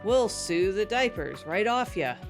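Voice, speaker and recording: A woman's voice: mid-range voice, animated, a little slow. A woman delivers a scripted mock advertisement. Her mid-range voice is declarative as she presents the sponsor. Light music plays behind her. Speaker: sponsor ad reader.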